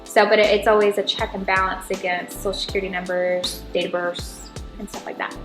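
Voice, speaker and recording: A woman's voice: mid-range voice, level tone, fairly quick. A middle-aged American woman giving casual, chatty explanations as an interview guest, often checking in with "right?". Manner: chatty, informal, peppers talk with "right?" and "you know". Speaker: interview guest, title insurance representative. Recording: roomy echo, music behind the voice.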